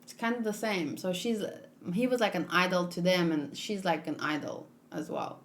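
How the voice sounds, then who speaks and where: A woman's voice: fairly low pitch, animated, normal speed, slight background noise. A woman streaming a casual manga read-along, musing aloud and chatting with viewers as she goes.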